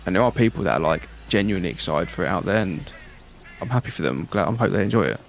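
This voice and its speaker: A man's voice: low voice, animated, fast talker, gravelly, slight background noise. A man co-hosting a casual gaming livestream, commenting in a blunt, sweary way. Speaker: game streamer co-host.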